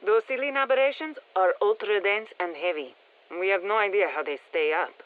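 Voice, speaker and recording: An actress playing a brisk, sharp-minded scientist in a video game, matter-of-fact and technical, with a Spanish accent and a processed voice. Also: female voice, mid-range voice, narrow, tinny audio. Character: brisk, sharp-minded female scientist studying an alien parasite. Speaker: scientist and engineer briefing operators. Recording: thin band-limited radio, some long hall reverb.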